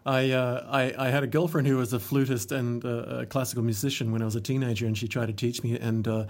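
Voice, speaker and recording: A man's voice: medium pitch, flat delivery, fast talker, a little mellow. A man hosting an interview podcast, chatty and enthusiastic, with plenty of fillers as he introduces and questions his guest. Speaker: podcast host. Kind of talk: casual interview chat.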